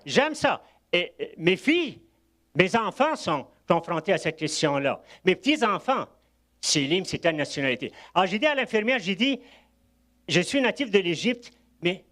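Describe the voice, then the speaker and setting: An older man from Egypt, a guest preacher giving a sermon, telling anecdotes with chuckling asides. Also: sits high. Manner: warm storytelling with asides and chuckles.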